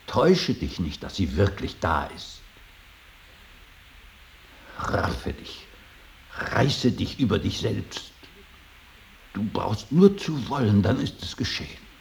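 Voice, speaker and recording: An actor playing a stern, solemn middle-aged father in a radio drama, insistent and halting in his speech. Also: fairly low pitch, gravelly, exaggerated voice. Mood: earnest pleading. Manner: solemn, insistent, halting, swinging from hushed to forceful. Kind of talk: 1980s German radio drama acting. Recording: faint tape hiss.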